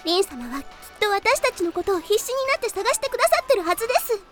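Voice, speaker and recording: A woman's voice: very high-pitched, sing-song, fast talker, over loud music. A woman playing a shy, demure teenage girl in an anime audio drama, timid, hesitant and very polite. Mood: earnest.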